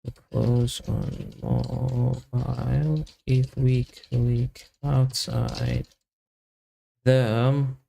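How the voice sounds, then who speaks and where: Male developer streamer, casual think-aloud delivery, very slow with long pauses, heavily creaky mid-pitched voice. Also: level tone, warm tone, slight background noise. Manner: halting, muttered fragments with long pauses. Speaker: software developer live streamer. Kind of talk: casual live coding commentary, thinking aloud.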